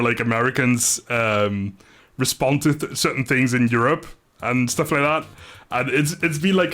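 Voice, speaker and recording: Rambling, low-energy male streamer from the Netherlands. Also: a bit bright. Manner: rambling, laid-back, swears mildly, often chuckles. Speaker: live streamer. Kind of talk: casual livestream chat with viewers. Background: music.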